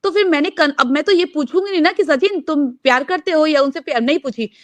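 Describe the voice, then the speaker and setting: A woman in an animated personal conversation on a recorded group call, repeating words for emphasis. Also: sits high, fast, no echo. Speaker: caller on a group phone call.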